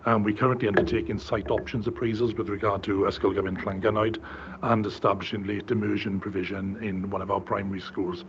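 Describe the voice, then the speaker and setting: A man, a council education director, briskly setting out targets at a formal committee meeting. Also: on the low side, level tone. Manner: brisk, earnest, listing targets. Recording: dull lo-fi meeting audio with faint room noise.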